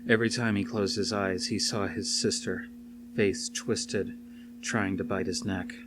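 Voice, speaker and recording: A man's voice: on the low side, fairly even intonation, a little slow, over music. A man reading aloud as an audiobook narrator, in a dramatic scripted style. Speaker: audiobook reader. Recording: faint noise behind the reading.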